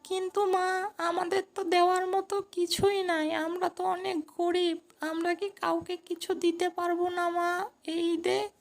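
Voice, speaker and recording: Poor little boy, kids' moral tale: tiny high voice, slow earnest pleading. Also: flat delivery, gravelly, full character voice. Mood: wistful, pleading. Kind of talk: children's moral story dialogue.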